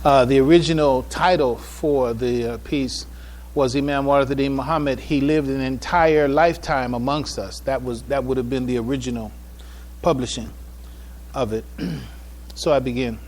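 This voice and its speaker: Halting man giving a public address. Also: medium pitch, steady tone, a little mellow, a little hiss or hum. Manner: halting, repeats and restarts phrases, trailing asides. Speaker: conference speaker presenting a paper. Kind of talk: public address, informal preamble then reading a paper aloud. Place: public event before an audience.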